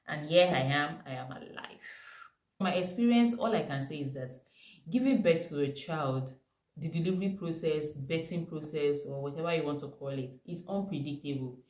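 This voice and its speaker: A young woman with a Nigerian accent telling a personal story to camera, animated and emphatic. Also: deep voice, normal speed. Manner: animated, emphatic retelling with bursts of laughter. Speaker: vlogger. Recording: echoey room.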